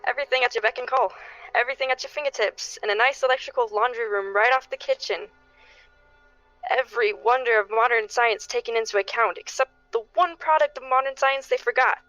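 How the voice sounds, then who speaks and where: A woman playing a desperate, pleading neighbour and parent in a radio play, with halting, stammering delivery. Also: a little high, fairly bright tone, no room sound, dull audio, faint music behind, close to an everyday voice. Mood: anxious, bitter. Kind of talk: dramatic radio play acting.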